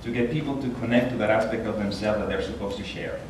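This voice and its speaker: A man with a Puerto Rican accent giving an earnest, animated inspirational talk as a guest speaker at a church. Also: fast talker, background noise. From Puerto Rico. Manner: earnest, animated, rises in pitch when stressing a point. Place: large church hall. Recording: echoey hall, taken from far off.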